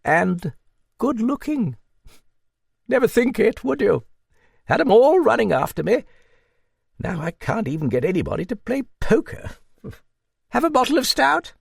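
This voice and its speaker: A man's voice: very high voice, big pitch swings, unhurried, creaky, long pauses, slightly rough, no room sound, clean studio sound, voice pushed up, exaggerated voice. A man voicing a blunt, motherly old woman with a hearty, blustery manner in an audiobook. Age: older. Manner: blustery, hearty, muttering asides. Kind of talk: audiobook character voice.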